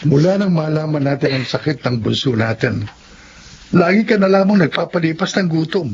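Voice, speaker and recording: A man's voice: a little high, lively intonation, moderate pace, creaky, lo-fi sound, a little hiss or hum. An actor playing a worried father who speaks steadily and reassures his wife in a radio drama. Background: music.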